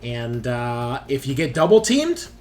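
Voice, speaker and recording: Male game master, medium pitch, casual sweary tabletop narration, thinking aloud, light music behind. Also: big pitch swings, measured pace, a little mellow. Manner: informal, profane, thinking aloud. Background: game background music.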